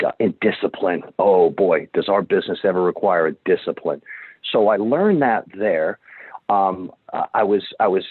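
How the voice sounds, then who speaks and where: A man's voice: on the low side, dry recording. Man in his fifties on a tinny line. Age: 50s. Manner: rambling, many restarts. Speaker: guest. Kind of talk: storytelling interview. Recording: dry tinny phone-like line.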